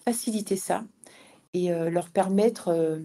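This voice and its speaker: A woman being interviewed as a guest, speaking conversationally and haltingly, often repeating words as she builds her answers. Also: on the low side, mellow. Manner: hesitant, halting delivery with many repeated words. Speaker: interview guest, founder and manager of an event venue.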